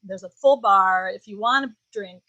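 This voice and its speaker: A woman who owns a shop and designs knitwear, talking casually as a guest, relaxed and animated with many "like"s and "um"s. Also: mid-range voice, normal speed, dry recording, lo-fi sound. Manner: relaxed, animated, laughs easily, says "like" and "um". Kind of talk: casual conversational interview.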